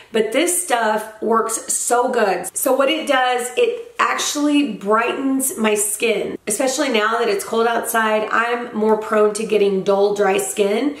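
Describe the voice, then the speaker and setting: A young woman's voice, scratchy and hoarse from a recent cold, sits a little high as she talks eagerly through her favorite beauty products. She chats casually, with plenty of "like" and "um". The sound carries the echo of a roomy space at home. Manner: chatty, enthusiastic, frequent "like" and "um". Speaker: beauty vlogger.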